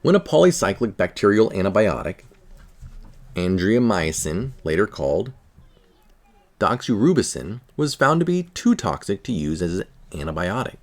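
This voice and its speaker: A man reading a scientific article aloud in a careful, measured manner. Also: on the low side, sing-song. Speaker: article reader.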